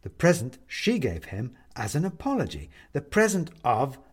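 A man narrating a scripted story as an audiobook reader, in a wry, measured manner. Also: mid-range voice, wildly swooping pitch, husky.

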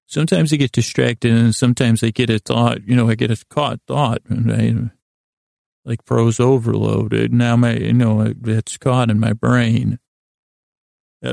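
Male sleep-podcast host: heavy vocal fry, dry close sound, languid rambling delivery full of false starts. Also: medium pitch, warm tone, no room sound. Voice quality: gravelly, creaky. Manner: meandering, halting, stammering with false starts. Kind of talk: rambling bedtime monologue to help listeners sleep.

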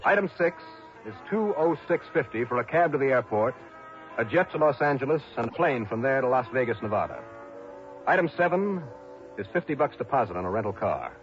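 A man acting as a brisk, hard-boiled investigator who narrates his case in an emphatic 1960s radio drama style. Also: medium pitch, big pitch swings, dull audio, close to an everyday voice. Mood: brisk narrating. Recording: band-limited old radio recording, music behind.

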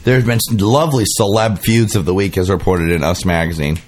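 A man's voice: sits low, fairly even intonation, brisk, a little mellow. A man hosting a comic gossip chat in a campy, sassy, bawdy manner. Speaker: podcast host.

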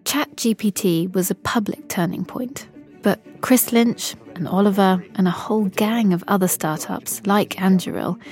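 Woman host for investigative narration, measured storytelling, quiet music bed. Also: medium pitch. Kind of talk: scripted narration.

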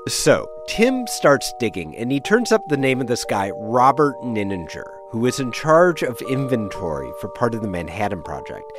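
A man's voice: mid-range voice, very animated, moderate pace, few pauses, music in the background. A man explaining things informally as a science correspondent, telling a story in a conversational podcast style.

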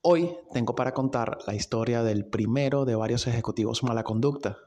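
A man's voice: mid-range voice, a little fast, dark tone. A man hosting a podcast, telling a story in an informal, conversational way with sardonic asides. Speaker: podcast host. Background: music.

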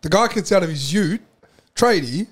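Young aussie guy, podcast co-host, rambling crude storytelling, slightly high voice. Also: lively intonation, moderate pace, husky. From Australia. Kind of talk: casual banter.

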